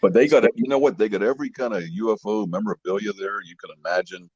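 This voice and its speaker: A man's voice: fairly low pitch, fast, a little mellow, breathy edge, no room sound. A man chatting casually as a podcast host in an agreeable, easily amused way. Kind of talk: casual chat. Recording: muffled lo-fi audio.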